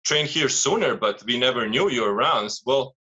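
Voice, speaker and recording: Bright-voiced man rambling through casual advice. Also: medium pitch, expressive, normal speed, breathy edge, lo-fi sound. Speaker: strength coach, guest. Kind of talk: casual chat, giving advice.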